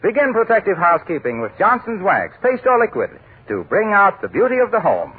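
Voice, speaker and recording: Male announcer, 1940s ad read, slightly husky, lively; tinny old broadcast. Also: high-pitched, fairly quick, background noise. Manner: smooth, polished announcer delivery. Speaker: radio announcer. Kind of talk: 1940s radio commercial and show introduction.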